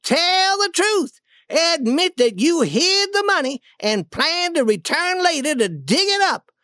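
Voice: high-pitched, sing-song, moderate pace, a bit bright, no echo, pitched above the performer's own voice, heavily acted. A narrator voicing a scornful, hot-tempered con-man duke in a storybook tale, scolding and accusing with heavy emphasis. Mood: furious.

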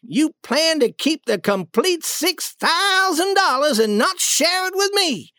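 A scornful rogue of a duke from a storybook, acted out by a narrator. He scolds and accuses emphatically in a high-pitched, lively voice full of temper. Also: moderate pace, dry recording, studio quality, heavily acted. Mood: furious. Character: scornful, hot-tempered male con-man posing as duke.

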